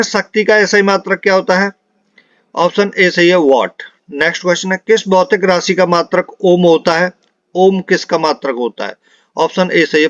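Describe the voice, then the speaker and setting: A man's voice: a little high, fairly bright tone, dry recording. A man teaching an online exam revision class, reading out quiz questions and repeating the answers for emphasis. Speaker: physics teacher for police exam prep. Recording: dull lo-fi, dry close sound.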